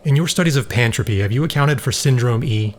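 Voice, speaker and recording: Audio drama male actor as grave older-brother scientist, mid-pitched, earnest and formal, slight background noise. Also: expressive, fast, close to an everyday voice. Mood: earnest, probing. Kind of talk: scripted dialogue in a science fiction audio drama.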